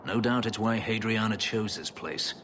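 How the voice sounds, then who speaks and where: An actor playing a brooding male elf, an escaped slave and warrior, speaking in a grave, measured, bitter way. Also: lowish voice, background noise, natural voice. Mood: grim. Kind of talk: video game voice acting. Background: game music score.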